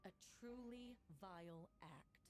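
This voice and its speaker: An actress playing a stern, composed prosecutor in a video game, with formal, measured and accusatory delivery. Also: female voice, mid-range voice, darkish tone, lightly acted. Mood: stern, accusing. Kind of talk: dramatic game voice acting.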